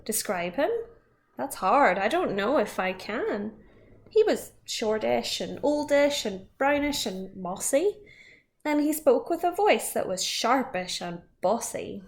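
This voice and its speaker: Slow, expressive woman reading aloud. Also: on the high side. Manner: expressive storytelling with asides. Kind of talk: reading a picture book aloud.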